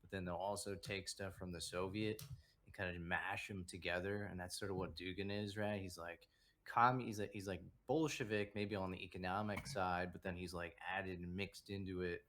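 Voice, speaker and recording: A man's voice: low voice, fairly even intonation, moderate pace, fairly bright tone, a little hiss or hum. A man hosting a casual stream chat, asking questions and rambling with lots of "like" and "right?". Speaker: stream host.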